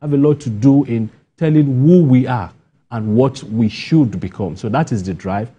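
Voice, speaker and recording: A man's voice: medium pitch, normal speed, dark tone, husky, dull audio. A man with a Nigerian accent giving spontaneous interview answers, emphatic and repeating key phrases for stress. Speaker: interview guest, playwright.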